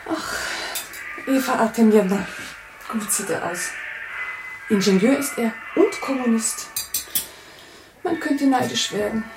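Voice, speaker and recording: A woman's voice: on the high side, measured pace, long pauses, background noise. An actress playing a gossipy, envious, grumbling neighbour woman in a German radio play, chatty and needling. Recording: roomy, distant mic, faint music.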